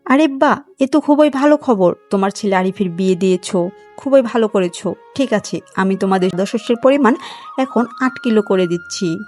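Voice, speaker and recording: A woman's voice: mid-range voice, animated, normal speed, a little mellow, soft background music, natural voice. A woman voicing a kindly, generous king in a cartoon folk tale, benevolent and approving. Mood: pleased.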